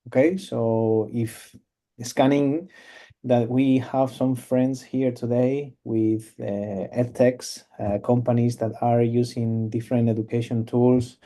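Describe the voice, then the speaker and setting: Man, webinar presenter, very dark mellow voice, halting, video call. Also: medium pitch. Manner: halting, many fillers and restarts. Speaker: cloud company presenter. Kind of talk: webinar product demo.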